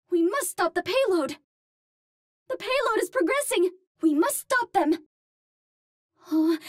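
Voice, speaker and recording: A woman's voice: very high-pitched, no echo, studio quality. An actress voicing a cute, earnest young Martian space heroine in a video game, with a light girlish voice and precise, formal wording. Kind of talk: video game hero voice lines.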